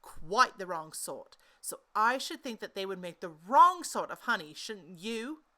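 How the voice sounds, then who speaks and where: A woman voicing an earnest, naive male toy bear who schemes in a deliberate, exclamatory way for a storybook. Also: medium pitch, sing-song, bright, forceful tone. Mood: urgent, decided.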